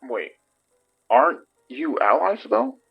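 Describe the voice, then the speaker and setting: A man playing a wary police detective in an audio drama, husky, terse and questioning. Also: medium pitch, sing-song, slow, highs cut off, soft background music, heavily acted. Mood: puzzled, blunt.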